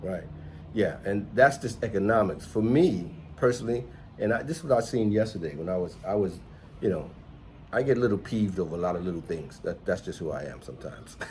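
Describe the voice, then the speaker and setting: A middle-aged American man hosts a casual vlog in a deep voice. He talks in a rambling, reflective way. There is slight noise behind him. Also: animated, brisk, a little mellow. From United States, New York City. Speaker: host, entrepreneur and musician. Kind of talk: casual conversational vlog chat.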